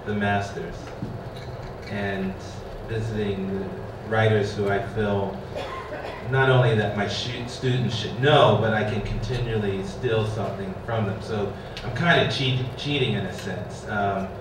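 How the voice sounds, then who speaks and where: A low-voiced man who teaches answers from a panel, wry and relaxed. His voice echoes strongly from a distance in a large hall, with noise underneath. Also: measured pace.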